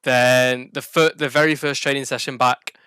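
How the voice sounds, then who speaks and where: A man's voice: medium pitch, little pitch movement, moderate pace, bright, forceful tone, clean tone, dry recording. A young man with a northern English accent chatting casually as a podcast guest. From Burnley, northern England. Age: young adult. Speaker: professional esports player, guest. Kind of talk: casual chat.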